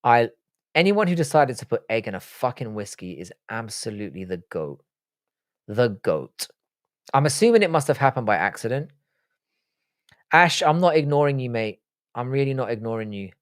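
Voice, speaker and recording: A man's voice: medium pitch, big pitch swings, moderate pace, long pauses, dry recording, clean studio sound. A young man, raised in the UK, hosting a live stream and answering viewer questions in blunt, profane, joking chat. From born in Ireland, grew up in the UK. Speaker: livestream host.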